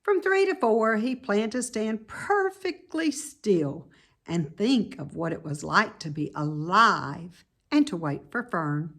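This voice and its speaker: A woman reading a children's story aloud as narrator, with deliberate, dramatic emphasis. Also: medium pitch, big pitch swings, a bit bright. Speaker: storybook reader.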